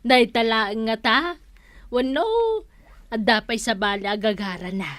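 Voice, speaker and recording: Motherly female storekeeper, radio comedy: chatty, gossipy, teasing, higher register, dry studio sound. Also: a little slow, a bit bright, no room sound. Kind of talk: comedy radio drama acting.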